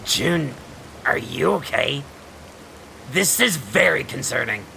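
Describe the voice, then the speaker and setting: An actor in a fan-dub audio drama voicing a sardonic, sharp-tongued alien woman anxious about a friend, with a raspy voice. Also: medium pitch, expressive, takes it slowly, slight background noise, heavily acted. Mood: uneasy, pleading.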